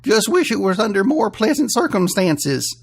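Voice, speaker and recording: A man voicing an earnest, excitable country contestant in an audio story, with a thick drawl and plain-spoken manner. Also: sits high, moderate pace, vocal fry, husky, pitched above the performer's own voice, exaggerated voice. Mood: polite, friendly.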